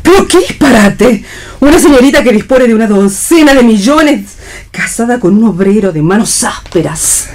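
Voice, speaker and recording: Actress as a haughty rich mother, highish voice. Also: lively intonation, moderate pace, darkish tone, lightly acted. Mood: scornful. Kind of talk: theatrical read radio play.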